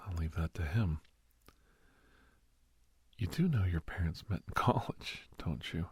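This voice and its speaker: A man playing a kindly doctor in an ASMR roleplay, speaking in a hushed, breathy whisper with pauses. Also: low voice, expressive, full character voice. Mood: reassuring, confiding. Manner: hushed, unhurried, with pauses and soft chuckles. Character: kindly doctor advising a young werewolf, old family friend.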